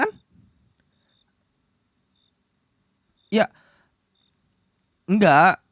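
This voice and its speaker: A man's voice: sits high, dry recording, studio quality, heavily acted. Man acting a flustered, bossy young demon prince. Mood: calm, scheming. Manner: stammering, flustered denials, possessive commands. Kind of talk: ASMR romantic roleplay acting.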